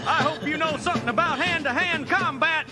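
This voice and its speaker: An aggressive military tough guy, played by a man, barking in a strained, extremely high register. He is commanding and confrontational, with loud music all over the clip. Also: a little fast. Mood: threatening.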